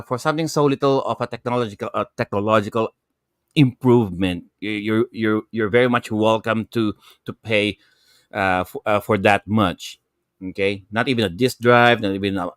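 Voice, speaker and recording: A man giving casual panel commentary on a gaming show in an emphatic, halting way. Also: mid-range voice, a bit bright, no echo, clean studio sound.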